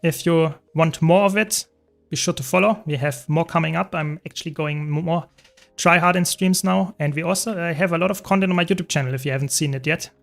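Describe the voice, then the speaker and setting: A man's voice: a little high, a little fast, vocal fry, soft background music. German-accented man streaming games. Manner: answers chat questions while playing, relaxed and talkative. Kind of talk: casual live-stream chat.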